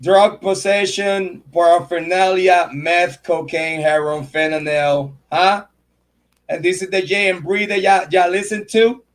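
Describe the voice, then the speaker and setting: A man's voice: high voice, fairly even intonation, a little slow. A man hosting a true crime livestream, ranting in a heated, profane, repetitive way and stopping to thank viewers by name. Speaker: true crime channel host. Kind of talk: livestream commentary rant.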